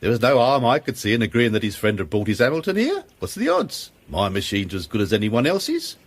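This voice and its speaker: Male shopkeeper, British accent, hugely varied intonation, husky, breezy, shameless, colloquial, radio drama. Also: mid-range voice, sing-song, a little fast. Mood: brazen, breezy. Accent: British English. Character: cheerfully unscrupulous, brazen British shopkeeper.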